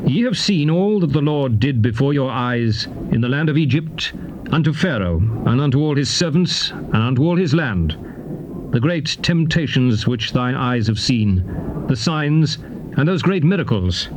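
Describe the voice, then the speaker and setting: Guy playing a grave prophet warning his people, formal bible drama, measured delivery, noisy old cassette. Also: medium pitch, animated, close to an everyday voice. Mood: solemn. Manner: grave, declamatory, measured oratory. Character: Moses, solemn male prophet warning his people of curses. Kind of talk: dramatized scripture reading, formal archaic English.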